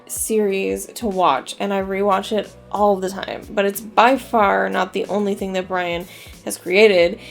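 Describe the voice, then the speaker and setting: Chatty female video host, gravelly fry, self-deprecating, soft music under her voice. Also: mid-range voice, flat delivery, measured pace. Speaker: YouTube host. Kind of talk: commentary video narration.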